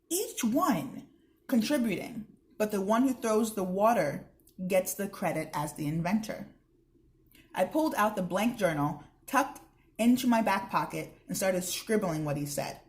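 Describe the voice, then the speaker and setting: A woman's voice: medium pitch, very animated, measured pace. A young woman reading a children's book aloud, expressively, with chatty asides to her listeners. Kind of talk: read-aloud narration with casual asides.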